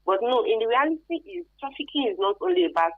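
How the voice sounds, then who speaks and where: Animated Nigerian woman, thin phone-like sound. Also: fairly high pitch, fast, no echo. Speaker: guest expert, career advisor. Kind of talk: conversational interview answers. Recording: narrow phone-like radio sound.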